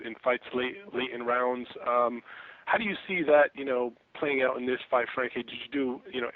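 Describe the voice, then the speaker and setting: A man asking conversational questions as a sports reporter on a conference call. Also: mid-range voice. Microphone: telephone. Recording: narrow muffled phone conference line.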